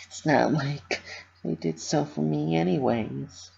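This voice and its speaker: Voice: mid-range voice, takes it slowly, gravelly, a little mellow, lo-fi sound, pitched above the performer's own voice. A narrator voicing a bubbly young female cartoon pony whose forced cheer covers a fragile sadness, in a dramatic story reading. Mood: weary, sad. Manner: forced cheer, squealing, emotional.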